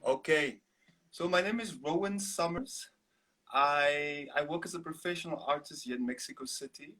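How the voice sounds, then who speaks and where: A young man from Namibia whose first language is Afrikaans, chatting in a relaxed, rambling way as a guest on a video-call interview. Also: on the high side, moderate pace. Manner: relaxed, rambling with restarts and laughs. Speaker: guest, singer-songwriter.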